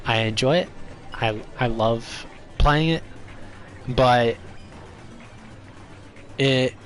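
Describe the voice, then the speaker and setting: A man with a stuffy, congested voice chats through a game stream, offering his opinions in a relaxed, talkative way. He speaks slowly, at an easygoing pace. Faint music plays behind him. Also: medium pitch. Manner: relaxed, opinionated, chatty with viewers. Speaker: gaming streamer. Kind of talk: casual chat while streaming a game.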